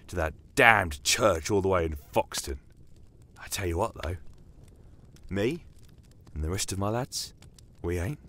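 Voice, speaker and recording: Captured rank-and-file soldier for fantasy audio drama, male actor: deep, blunt, colloquial, wary and resentful. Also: fairly bright tone, full character voice. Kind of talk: dramatic audio story dialogue.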